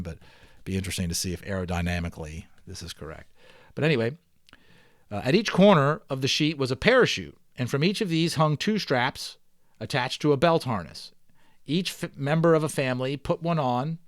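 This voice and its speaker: A man's voice: medium pitch, no echo, clean studio sound. Man reading a children's novel aloud. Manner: expressive reading, dropping into chatty offhand commentary. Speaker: amateur audiobook reader. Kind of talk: dramatic read-aloud of a children's novel with casual asides.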